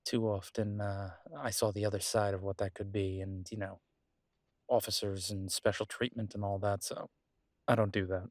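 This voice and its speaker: Low-voiced, easygoing werewolf pack leader played by a man. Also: level tone, normal speed, no echo, studio quality, lightly acted. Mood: tender, relaxed. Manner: casual, conversational, hesitant trailing phrases. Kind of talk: audio roleplay drama.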